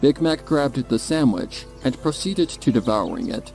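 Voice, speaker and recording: A man's voice: medium pitch, sing-song, measured pace, vocal fry, darkish tone. A man reading a scripted story as a dramatic narrator. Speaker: narrator of a fan fiction reading. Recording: music behind the voice.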